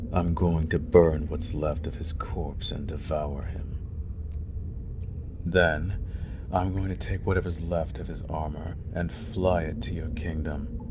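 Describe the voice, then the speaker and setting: A man playing an angry, proud dragon hybrid in an ASMR roleplay, speaking deliberately and with contempt, at times breathy. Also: deep voice, background noise. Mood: angry, threatening. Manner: slow, deliberate, contemptuous, breaking into breathy near-whispers. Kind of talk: ASMR roleplay monologue addressed to the listener.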